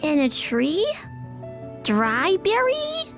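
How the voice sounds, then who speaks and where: A woman voicing a small, childlike, earnest creature with a squeaky voice and halting, simple phrases in a video game. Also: huge pitch swings, vocal fry, exaggerated voice. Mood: halting, puzzled. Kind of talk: animated video game voice acting. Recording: game music behind the voice.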